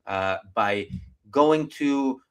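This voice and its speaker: A man's voice: medium pitch, lively intonation, extremely slow, fairly bright tone, clear, resonant tone. A man hosting a casual live talk show, speaking in a bantering, teasing way.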